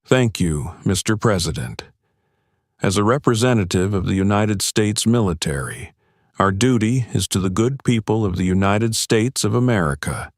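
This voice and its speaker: A man plays an American major general in an audiobook drama. The voice is fairly low and dry, with formal, deliberate, orderly delivery of an official briefing. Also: animated, dry recording. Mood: official calm. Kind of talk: audiobook acting, military briefing.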